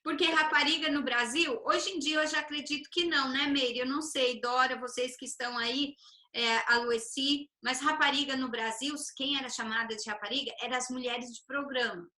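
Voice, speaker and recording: A Brazilian woman with a Brazilian Portuguese accent hosts a relaxed live conversation, talking at length in a chatty way. Her voice sits high and sounds bright. The room echoes noticeably. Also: normal speed. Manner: chatty, reads viewer comments aloud. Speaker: host of a live chat. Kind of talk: casual live-stream conversation.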